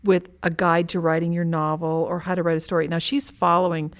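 Female podcast host, American, slightly low voice. Conversational, agreeable, reads listener questions. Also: level tone, fairly quick.

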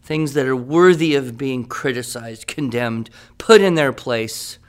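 A man's voice: medium pitch, unhurried, clean tone. A man playing a writing teacher who leads a class in an upbeat, encouraging and wordy way. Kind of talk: scripted classroom radio drama.

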